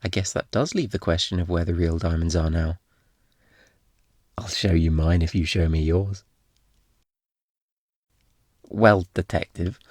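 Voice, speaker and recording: Casting: male actor as a smug master thief for ASMR roleplay. Low voice, close and dry, flirty, theatrically innocent, always insinuating. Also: dry recording. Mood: flirty, teasing, then sly, teasing.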